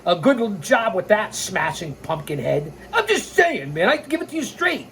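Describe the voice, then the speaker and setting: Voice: medium pitch, very animated, moderate pace, a bit bright. Blunt, opinionated wrestling storyteller with noisy background. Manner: opinionated, blunt, sarcastic, swearing. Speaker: host of a pro wrestling storytelling show. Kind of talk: reading a scripted biography with ranting, informal asides.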